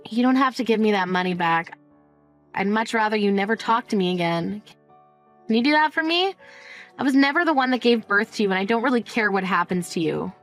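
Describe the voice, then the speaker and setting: A woman's voice: medium pitch, expressive, brisk, fairly bright tone, soft background music. A woman playing a cold, sarcastic mother who tells her story in a smug, dismissive way. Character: cold, sarcastic middle-aged mother, smugly unsympathetic. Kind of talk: dramatized first-person story narration.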